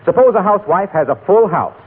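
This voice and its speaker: An actor playing a genial, eager salesman giving smooth advertising patter on a 1940s radio comedy. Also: sits high, lively intonation, fast. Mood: salesmanlike. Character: genial, eager product pitchman among card players. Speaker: radio announcer. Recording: tinny 1940s radio recording, light noise.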